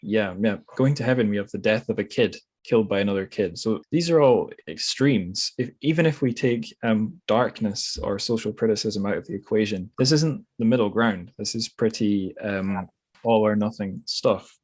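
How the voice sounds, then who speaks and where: Male podcast host with a fairly low voice; thoughtful, halting, informal interviewing style that rambles a little. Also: darkish tone. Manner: hesitant, rambling, thinking aloud. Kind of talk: conversational literary interview.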